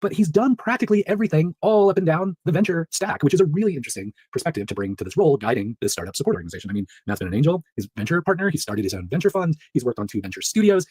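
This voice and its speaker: A man hosting a solo startup news recap in a chatty, upbeat way, his voice rough, nasal and stuffed up from allergies. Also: fairly high pitch, rapid-fire, few pauses, no echo. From Pacific Northwest. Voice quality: nasal, monotone. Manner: upbeat, chatty, laughs between items.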